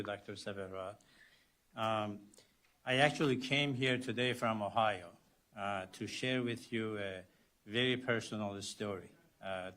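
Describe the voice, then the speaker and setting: A man in his sixties from Iran with an Iranian Azeri-Turkish accent, giving a policy talk as a surgeon guest speaker, halting often with fillers. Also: fairly low pitch. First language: Azerbaijani Turkish. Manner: halting, many fillers, rising loudness on key phrases.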